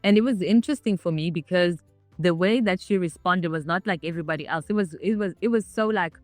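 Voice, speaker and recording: A woman's voice: on the low side, quick pace, darkish tone, no room sound, soft background music. A woman, telling her story casually with lots of "like" fillers. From South Africa. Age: 30s. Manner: chatty, with many "like" fillers. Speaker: interviewee.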